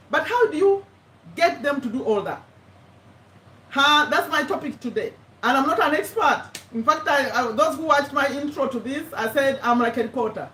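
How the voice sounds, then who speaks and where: A woman's voice: high-pitched, fairly bright tone. A woman, chatting casually to viewers on a live stream, often correcting herself and laughing. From Uganda. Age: 50s. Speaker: YouTube live host, business owner. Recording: echoey room, mic at a distance, faint ambience.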